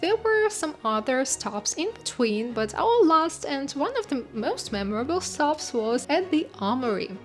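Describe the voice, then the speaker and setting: A woman vlogger giving travel narration and casual commentary on a sightseeing visit. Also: high voice.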